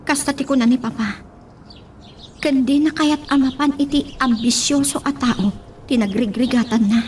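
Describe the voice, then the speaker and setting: A woman's voice: high-pitched, normal speed, darkish tone, a little hiss or hum, natural voice. A woman playing a lovesick, headstrong young daughter in an audio drama, emotional and defiant. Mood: troubled, resentful.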